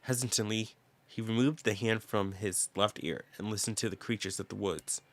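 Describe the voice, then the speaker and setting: A man's voice: lowish voice, steady tone, normal speed. A man reading game text aloud as a dramatic narrator in a let's play. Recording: background game music. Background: game music with plucked guitar.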